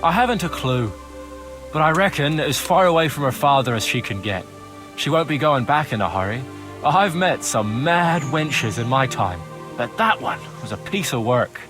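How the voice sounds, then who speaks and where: A man's voice: mid-range voice, lively intonation, normal speed, music in the background, natural voice. A man playing a cowardly, roguish young turncoat in a video game cutscene, whining, wheedling and foul-mouthed. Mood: aggrieved. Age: young adult.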